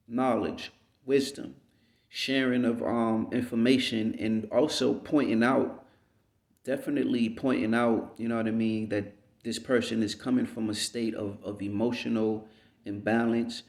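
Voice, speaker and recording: A man from the United States giving an unhurried, rambling reflective monologue as a vlogger, often checking in with "you know what I mean.". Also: lowish voice, darkish tone. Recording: roomy echo.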